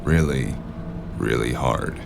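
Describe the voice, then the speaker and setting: A man playing a weary, sardonic hard-boiled investigator, narrating a noir audio drama in a gravelly, deadpan way. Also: a bass voice, takes it slowly, background noise, heavily acted. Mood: brooding, wry. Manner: deadpan, dry, brooding.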